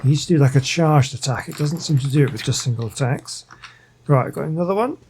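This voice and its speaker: A man's voice: fairly high pitch, animated, fast, a little mellow, a little hiss or hum. A man giving casual gameplay commentary, muttering to himself as he plays. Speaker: gaming video host.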